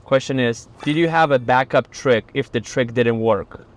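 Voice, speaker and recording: A man's voice: medium pitch, moderate pace. Young Lithuanian man, chatty magician. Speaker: magician and channel host. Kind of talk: casual chat. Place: car.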